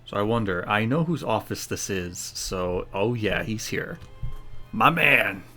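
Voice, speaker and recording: A female gaming streamer with a very low voice. She gives casual running commentary on a playthrough, in short informal remarks. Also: expressive, a little slow, pushed and bright, soft background music.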